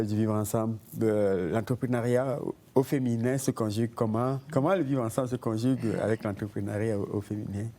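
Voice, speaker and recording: A man hosting an interview, talking haltingly with many fillers and frequent short agreements. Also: on the low side, dark tone. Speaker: host.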